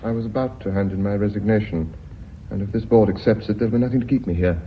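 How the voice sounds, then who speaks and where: A man plays a principled, steely British company man in a radio play. His low voice is formal and deliberate. The recording is a little dull. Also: fairly even intonation, close to an everyday voice. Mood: defiant. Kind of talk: British radio drama acting. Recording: dull, slightly noisy radio drama recording.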